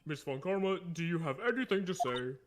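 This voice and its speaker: A man's voice: fairly high pitch, big pitch swings, a little fast, noisy background. A man voicing a flustered, easily confused old male judge, stammering and hesitant, reading game dialogue aloud. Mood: befuddled. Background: game music.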